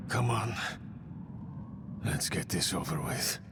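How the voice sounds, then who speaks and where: An actor playing a weary, nervous watch commander in a video game cutscene, hesitant and grudging. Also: male voice, deep voice, animated, takes it slowly, noisy background. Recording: loud game score behind voice.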